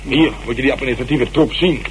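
A man in a Dutch radio drama playing a weary, sarcastic police officer with a gruff, grumbling manner. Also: medium pitch, fast talker, close to an everyday voice. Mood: sarcastic, annoyed. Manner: gruff, sardonic. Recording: noisy old radio recording.

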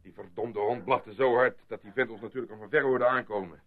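An actor playing a gruff, blunt, easily irritated village barman in a radio play. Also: fairly low pitch, fairly even intonation, brisk. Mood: gruff, annoyed. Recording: muffled, phone-like lo-fi radio play.

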